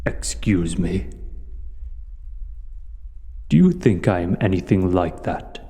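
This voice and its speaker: A huge, proud dragon, played by a man, speaks very low and dark in a long, cavernous reverb. He is measured and formal, a haughty, learned hoarder addressing his guest in a fantasy audio roleplay. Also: sing-song, heavily acted. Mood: indignant, proud. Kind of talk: audio roleplay, scripted monologue to the listener.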